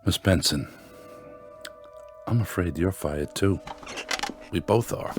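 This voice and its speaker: A beaten-down newspaper editor in a radio play, deep voice, dry and resigned, music underneath. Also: male voice, takes it slowly. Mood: defeated, bitter. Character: weary, defeated male small-town newspaper editor, wryly resigned. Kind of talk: radio drama acting.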